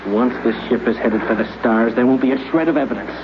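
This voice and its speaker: An actor playing a cold, smug murderer in a 1950s radio drama, calm and sneering. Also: male voice, medium pitch, quick pace, lightly acted. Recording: dull, noisy old radio broadcast.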